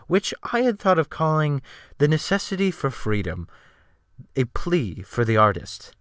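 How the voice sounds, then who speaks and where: A man narrating a literary audiobook in the first person, with formal, theatrical, measured phrasing. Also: medium pitch, a bit bright, dry recording, clean studio sound.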